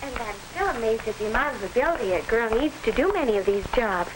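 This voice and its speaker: A woman's voice: a little high, animated, fast, close to an everyday voice. An American actress playing an earnest, polite teenage girl in a 1940s instructional film, speaking in formal, careful phrases. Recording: crackly 1940s film soundtrack.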